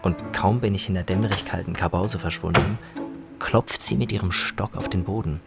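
A man with a fairly low voice reads a literary first-person story. He is the narrator, and music plays clearly behind his scripted telling. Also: steady tone, normal speed.

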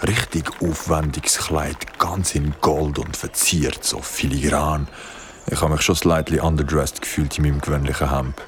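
A man's voice: very deep voice, steady tone, moderate pace, a little hiss or hum. An actor playing a casual, slangy young man recounting a wedding in a radio drama. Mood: amused, impressed. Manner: slangy, easily amazed, casual. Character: Besim, casual young male wedding guest recounting a horror. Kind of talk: colloquial radio drama storytelling.

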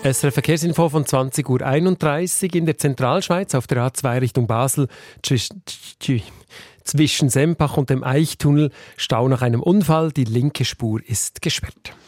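A man presenting a radio show, reading traffic news and listener questions in a brisk announcer manner. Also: mid-range voice, over music. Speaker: radio host.

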